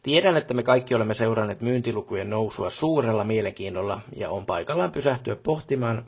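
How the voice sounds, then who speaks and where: A man's voice: mid-range voice, darkish tone, slightly rough. A man playing a pompous middle-aged company boss in a video game, with a stiff, long-winded, bureaucratic way of speaking. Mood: formal, pompous. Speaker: job boss character. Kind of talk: formal scripted meeting speech in a video game.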